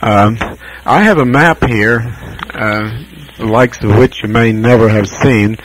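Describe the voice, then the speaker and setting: An American man chatting casually as a ham radio operator over shortwave, measured and hesitant, pausing often as he describes things. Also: lowish voice, a little hiss or hum, poor audio.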